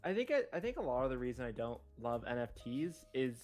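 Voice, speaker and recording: A young adult man co-hosting a casual podcast, reacting in an informal, expressive and exclamatory way. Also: mid-range voice, a little fast, soft background music. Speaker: podcast co-host. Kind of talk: casual chat, reacting and bantering.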